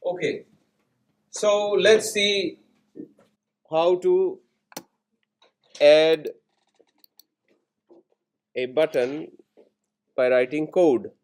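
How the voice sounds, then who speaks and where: A man lecturing in programming, explaining each step in short instructional phrases with pauses between them. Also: a little high. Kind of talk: step-by-step classroom coding tutorial.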